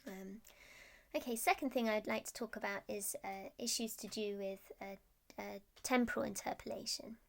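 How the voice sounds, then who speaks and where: A woman's voice: medium pitch, moderate pace, vocal fry. A woman presenting research as a scientist, explaining technical slides in a hesitant, stop-start way.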